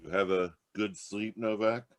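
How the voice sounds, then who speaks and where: A man playing a sardonic, gruff police detective in a 1940s radio noir style, needling whoever he questions. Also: fairly low pitch, steady tone, a little slow, dry recording, clean studio sound, natural voice. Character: sardonic, hard-nosed male police detective.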